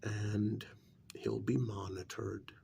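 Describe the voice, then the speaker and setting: Man acting a slow, gravelly, soft-spoken confidant. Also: fairly low pitch, flat delivery, a little hiss or hum, heavily acted. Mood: tentative, earnest. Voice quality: soft, breathy voice dropping into whispers. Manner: hesitant, measured, gently reasoning. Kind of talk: ASMR role-play, soft speaking.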